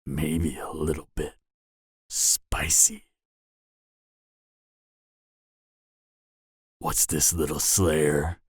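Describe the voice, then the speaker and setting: A man's voice: extremely low pitch, little pitch movement, slightly rough, no echo, clean studio sound, exaggerated voice. A man playing a cocky, flirtatious and dangerous vampire in an audio roleplay, teasing the listener with a smug, seductive delivery. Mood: seductive, menacing. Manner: teasing, smug, seductive drawl with dramatic pauses. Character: cocky, flirtatious, dangerous adult male vampire, former killer.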